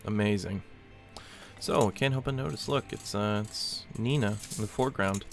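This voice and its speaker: A man casually commentating a game as he plays, chatting and reacting aloud in a relaxed way. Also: lowish voice, normal speed, vocal fry, soft background music. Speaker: gameplay commentator. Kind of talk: casual let's-play commentary.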